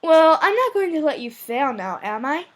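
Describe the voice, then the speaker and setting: A woman's voice: big pitch swings, normal speed. A woman voicing a cool, reserved but caring teenage boy in an amateur anime fan-fiction reading. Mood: cool, quiet. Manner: subdued, low-key delivery.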